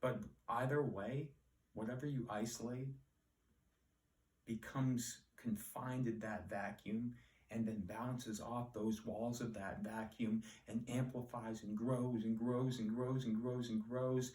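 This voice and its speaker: A man teaching word origins in a relaxed, rambling, self-deprecating way. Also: medium pitch, slow pace, recorded from a distance. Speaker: Latin etymology teacher. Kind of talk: casual solo etymology lesson. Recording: echoey room, voice off-mic.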